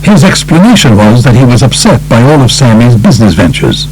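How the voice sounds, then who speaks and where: An older man with a gravelly voice reads a true crime book as a scripted audiobook narrator. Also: medium pitch, big pitch swings, brisk, darkish tone, a little hiss or hum, low-quality recording.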